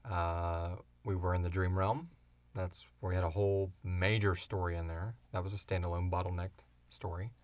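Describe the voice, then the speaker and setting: Male podcast co-host, low-pitched, close dry sound, informal and halting explainer in a casual Q&A. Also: flat delivery, dry recording. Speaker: podcast co-host and show creator. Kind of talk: casual Q&A chat.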